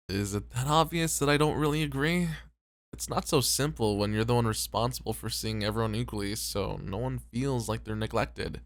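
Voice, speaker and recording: A man's voice: mid-range voice, moderate pace, no echo, close to an everyday voice. A man voicing a conscientious, weary, self-doubting host in an ASMR roleplay, sincere and a little hesitant. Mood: earnest, uneasy. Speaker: voice actor.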